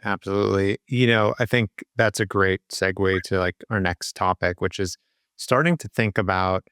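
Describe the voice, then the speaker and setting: Male interviewer, deep and gravelly yet crisp, agreeable, casual question-led delivery. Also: normal speed, a bit bright, no echo, studio quality. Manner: agreeable, leads with open questions. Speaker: podcast host, interviewer.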